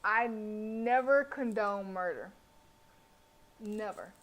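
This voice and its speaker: A woman's voice: medium pitch, slow pace, fairly bright tone. A sassy, colloquial woman reading and reacting aloud as she streams a game. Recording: faint background noise.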